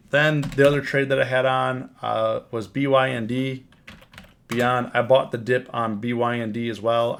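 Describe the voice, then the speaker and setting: A man with a gravelly, fry-laden voice, hoarse from a cold, talking through his trades on a live stream. He rambles and restarts, repeating words as he goes over his numbers. His pitch sits in the ordinary middle for a man. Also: a bit bright. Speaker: options trading live streamer. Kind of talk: casual live-stream market recap. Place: home, on camera.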